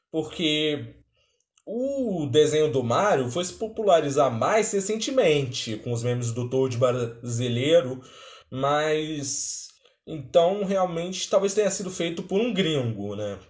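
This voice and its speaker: Brazilian man in a roomy, echoing space. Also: on the high side. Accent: Brazilian Portuguese. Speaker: reaction video host. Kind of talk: casual spontaneous commentary.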